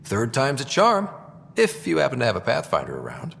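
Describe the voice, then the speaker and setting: A man's voice: mid-range voice, wildly swooping pitch, fairly quick, gravelly, a bit bright, heavily acted. A man voicing a young, wry and earnest space explorer in a video game, with a dry, casual manner. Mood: wry, confident. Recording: reverberant, faint background noise.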